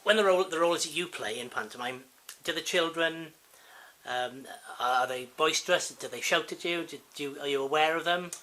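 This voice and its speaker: Interviewer chatting and asking stuff, sharp bright voice, medium pitch. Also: a little fast. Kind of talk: interview questioning.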